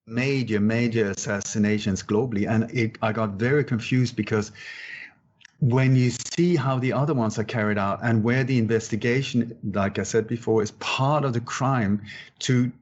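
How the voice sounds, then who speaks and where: A man's voice: medium pitch, fairly even intonation, moderate pace. A man in his sixties who grew up in Sweden, answering interview questions emphatically, repeating words and often restarting his sentences. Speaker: guest researcher. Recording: muffled, dull-sounding audio.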